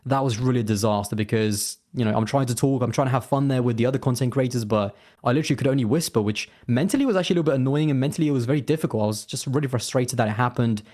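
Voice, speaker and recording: A man's voice: medium pitch, rapid-fire, hardly any pauses, a little mellow. A man chatting as an interview guest, rambling with lots of fillers and restarts. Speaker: guest, racing content creator. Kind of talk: casual interview chat.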